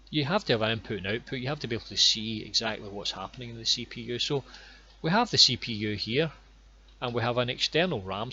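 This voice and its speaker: A Scottish man guiding viewers through his online course with plain, unhurried explanations and a few ums and repeated words. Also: medium pitch, pushed and bright, dull audio, a little hiss or hum. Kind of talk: screen-recorded course walkthrough.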